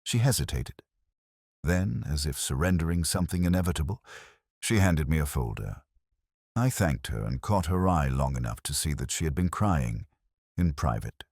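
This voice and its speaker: A man reading a literary detective story in the first person, with measured, reflective, melancholy phrasing. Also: a bass voice, lively intonation, a little mellow, slightly rough, no room sound, studio quality. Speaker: narrator of a detective mystery story.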